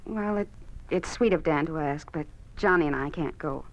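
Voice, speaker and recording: An actress playing a worried, principled wife and mother in an old-time radio drama, emotional and halting. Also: deep voice, lo-fi sound. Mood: bitter.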